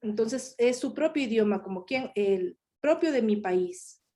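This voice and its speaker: A woman who gives voice to a signed lecture over a video call. Her voice sits high but sounds soft and mellow. She explains in short phrases and keeps coming back to the same points. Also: expressive, measured pace. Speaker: sign language voice interpreter. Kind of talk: live voicing of a signed talk.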